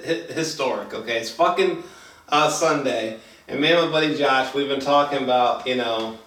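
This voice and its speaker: Male reviewer, mid-pitched, excitable, profane and rambling, casual chat recorded in a roomy space at a distance. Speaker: movie reviewer, host. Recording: roomy echo, distant mic.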